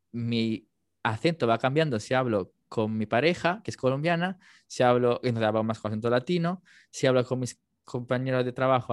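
A young man from Naples, a native Italian speaker and language teacher, talking casually and thinking aloud with many pauses and fillers. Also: medium pitch, dry recording, studio quality. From Italy, Naples. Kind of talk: casual conversation.

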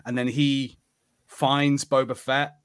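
A man's voice: mid-range voice, level tone, takes it slowly, smooth. A man in casual conversation as co-host of a podcast, speaking informally. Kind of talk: casual chat.

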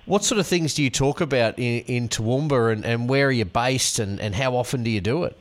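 A man who hosts on radio and calls races, interviewing a guest with relaxed, layered questions. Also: medium pitch, fairly quick. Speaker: radio host, race caller.

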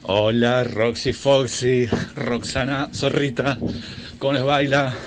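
Very loud street and vehicle noise surrounds an Argentine man recording on a bicycle. He speaks in a medium-pitched voice with a Buenos Aires accent, delivering a rambling, comedic correspondent's report as he rides. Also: little pitch movement, slow. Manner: talking while riding a bicycle. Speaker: roving correspondent. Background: loud construction and vehicle noise.

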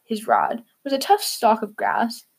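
Fairly high-pitched woman reading a children's story. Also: wildly swooping pitch, unhurried, vocal fry, slightly rough.

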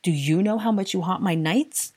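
A woman's voice: lowish voice, normal speed, a little mellow, breathy edge, pitched above the performer's own voice. Woman voicing an impassioned, lovesick young man. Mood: outraged, lovesick. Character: lovesick, exasperated young man confessing his feelings. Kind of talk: dramatic audiobook character dialogue.

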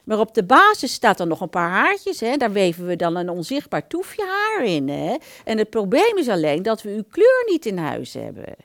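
Woman playing a lively, blunt, chatty hairdresser. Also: mid-range voice, normal speed, few pauses, dry recording, clean studio sound. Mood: blunt, explaining. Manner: blunt, chatty, professional patter. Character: frank, chatty woman hairdresser assessing a customer's hair. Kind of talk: radio play dialogue.

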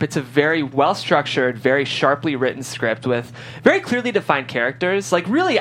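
A woman host with a very deep voice for a woman and lots of melodic movement. She narrates scripts and interviews guests, with faint noise behind. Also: a bit bright.

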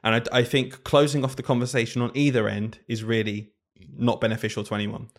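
A man's voice: medium pitch, little pitch movement, fairly quick. A man, a primary school teacher co-hosting a casual podcast, talking earnestly and thinking aloud.

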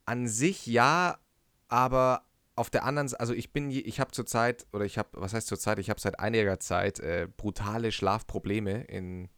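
A young man co-hosting a casual podcast chat in German, halting and restarting often and laughing easily. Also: fairly low pitch, fairly even intonation, fairly bright tone, clean tone, no room sound, clean studio sound. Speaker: podcast co-host.

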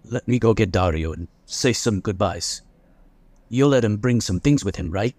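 A man's voice: fairly low pitch, expressive, normal speed, darkish tone, no room sound. An actor playing a wary, protective father in a sci-fi audio drama, hesitant and plain-spoken. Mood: reluctant. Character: wary, protective father reluctantly parting with his son.

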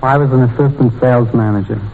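A man's voice: medium pitch, fairly even intonation, fast talker. A young man from Brooklyn giving plain, short answers as a contestant on an old radio quiz. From Brooklyn, New York. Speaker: quiz contestant, army private. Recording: muffled, hissy old radio broadcast.

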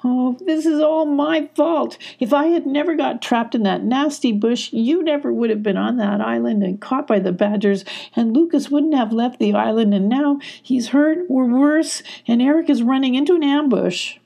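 A woman voicing an emotional young female fox in a storybook reading, high and pleading. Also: normal speed, vocal fry, mellow, husky. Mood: distraught.

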